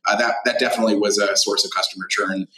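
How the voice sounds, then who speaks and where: A man giving unscripted interview answers as a tech company founder, halting and full of fillers as he thinks aloud. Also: lowish voice, little pitch movement, sharp tone. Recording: roomy, echoey sound.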